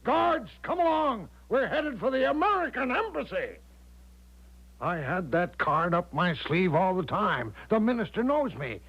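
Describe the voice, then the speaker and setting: An actor playing a blustering, confident, eccentric American major with a gravelly voice, insistent and emphatic in a radio drama. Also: male voice, sits high, big pitch swings, unhurried, heavily acted. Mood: jovial, confident. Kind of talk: 1970s radio drama acting. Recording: dull lo-fi old radio broadcast.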